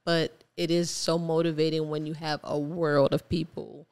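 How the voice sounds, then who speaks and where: An American woman speaks as a podcast guest in a smooth, clear voice with plenty of vocal fry. Her talk is casual and reflective. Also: low voice, flat delivery, normal speed, a little mellow. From Ohio, United States. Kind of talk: casual conversational interview.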